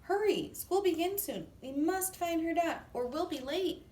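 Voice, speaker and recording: A woman's voice: very high voice, lively intonation, unhurried, a little mellow, roomy sound, distant mic, slight background noise, pitched above the performer's own voice. A woman voicing a caring, bossy grown-up female alphabet letter in a storybook, speaking in an emphatic, teacherly way. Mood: urgent.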